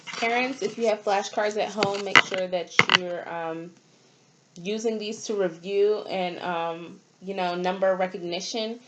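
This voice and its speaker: Slow-paced woman teaching preschoolers. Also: fairly low pitch, poor audio. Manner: simple, deliberate phrasing for small children. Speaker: preschool teacher and storytime host. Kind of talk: children's lesson and read-aloud, addressing young children.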